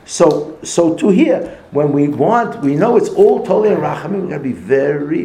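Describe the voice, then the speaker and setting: Gravelly man giving a Torah lecture. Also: fairly high pitch, roomy sound, rough recording. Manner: conversational, animated storytelling with Yiddish-Hebrew terms. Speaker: Torah teacher.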